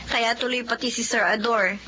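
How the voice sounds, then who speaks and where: A woman's voice: on the high side, steady tone, normal speed, sharp tone. An actress voicing a teasing, cheerful female coworker with a playful, giggly manner. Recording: dull lo-fi audio with faint hiss.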